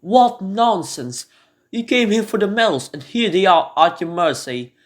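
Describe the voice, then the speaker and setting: A woman playing a cunning, murderous noblewoman who feigns grief and mercy, theatrical and deliberate, in an amateur radio drama. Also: medium pitch, animated. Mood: coaxing.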